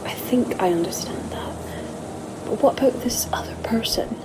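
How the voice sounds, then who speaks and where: A woman's voice: on the high side, moderate pace, in a whisper, natural voice, low-quality recording. A woman playing an uneasy, questioning companion in an audio drama, with a reserved manner. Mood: uneasy, curious.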